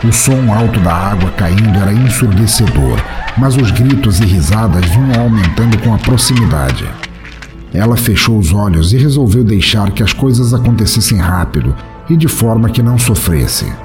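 A man's voice: on the low side, lively intonation, normal speed, music in the background. A man with a Brazilian accent narrating dramatically as a podcast host, in a literary, elaborate manner.

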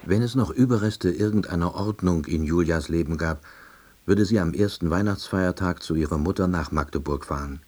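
Low, husky, deadpan lonely husband. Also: male voice, moderate pace. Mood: deadpan melancholy. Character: lonely, melancholy, laid-off East German husband, drily self-pitying. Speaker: narrator and lead actor of a radio drama. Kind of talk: scripted first-person narration.

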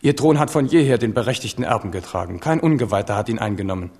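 An actor in a German radio play playing a proud, steadfast royal heir, speaking in an earnest, formal, declamatory way. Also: male voice, fairly low pitch, expressive, fast, a little mellow, breathy edge. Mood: earnest, frank. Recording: reverberant hall.

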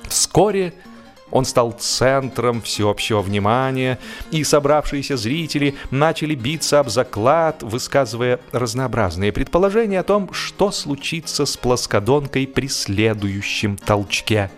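A man reads a humorous story as an audiobook narrator, in an expressive, animated storytelling manner. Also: mid-range voice, measured pace, faint music behind.

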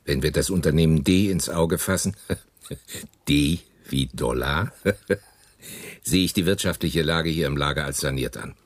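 An actor playing a smug, scheming wartime SS camp official with a husky, rough voice in a German radio play. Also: male voice, rumbling low, unhurried, long pauses. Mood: smug, businesslike.

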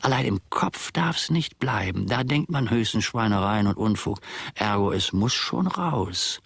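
A self-important old servant muttering through a radio drama monologue. The male voice is low and husky, recorded close and dry. He muses deliberately, grumbles, and often drops to a confiding murmur. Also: expressive, fairly bright tone, no echo, exaggerated voice. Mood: grumbling, confiding. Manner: musing, deliberate, theatrical, often dropping to a murmur. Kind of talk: German radio play monologue.

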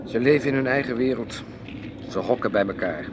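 An actor playing an easygoing but dismissive, evasive husband in a naturalistic radio play, with a Netherlands Dutch accent. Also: male voice, mid-range voice, brisk, close to an everyday voice. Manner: dismissive, conversational. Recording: noisy outdoor street ambience with traffic.

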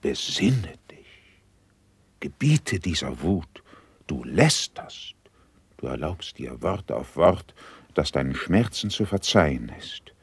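A man's voice: extremely low pitch, sing-song, vocal fry, husky, no room sound, exaggerated voice. An actor playing a grave, composed, patient statesman, speaking solemn verse in a classical radio play. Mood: stern, astonished. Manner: measured, solemn, declamatory.

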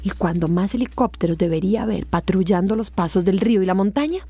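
Husky, anxious female assistant. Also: medium pitch, fast talker, dark tone, no room sound. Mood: puzzled. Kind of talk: radio drama acting.

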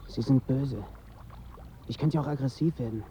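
Pleading male junkie in withdrawal, played by an actor, pushy yet polite, mellow tone, noisy ambience, radio play. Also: medium pitch, moderate pace, close to an everyday voice. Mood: agitated. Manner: pushy but polite begging. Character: pleading young male drug addict in withdrawal. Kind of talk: radio drama dialogue.

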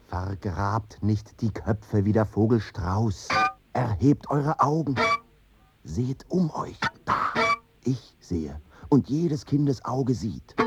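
An actor playing a grave prophet-poet, a man warning in solemn imperative declamation for a radio drama. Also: lowish voice. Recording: dull, under loud plucked guitar.

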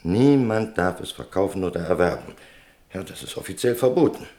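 An actor playing a self-important, evasive male merchant in a radio play, lecturing and insinuating, with drawn-out emphasis. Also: sits low, animated, mellow. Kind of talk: German radio play acting.

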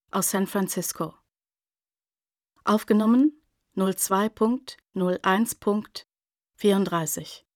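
Woman playing neutral radio drama announcer reading addresses n dates, super slow, precise, dry recording. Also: medium pitch, dry recording, close to an everyday voice. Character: impersonal female announcer of letterheads and telegrams. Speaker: narrator. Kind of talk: scripted radio play announcement.

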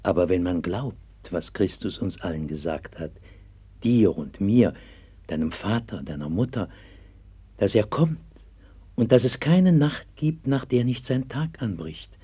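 A devout old-time pastor in a radio drama. His low voice is dark and husky, and he speaks with patient, solemn earnestness, as if comforting a child. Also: animated, a little slow, full character voice. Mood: gentle, consoling. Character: gentle, devout 16th-century pastor comforting a sick child. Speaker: actor in a radio play. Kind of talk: 1960s German radio play acting.

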